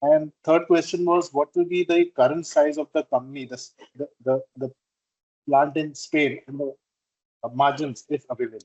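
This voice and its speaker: A man asking formal, halting questions as an investor on an earnings call. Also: a little high, steady tone, a little mellow, dull audio. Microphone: conference call connection.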